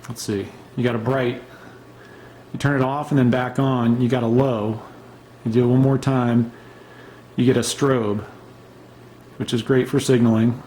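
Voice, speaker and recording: A relaxed man talks through his survival gear off the cuff, full of ums and restarts. His voice is mellow and his pitch stays flat and even. A faint hiss sits under the recording. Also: unhurried, pauses often, poor audio. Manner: relaxed, unscripted, many fillers and restarts. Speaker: gear reviewer. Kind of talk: casual show-and-tell review.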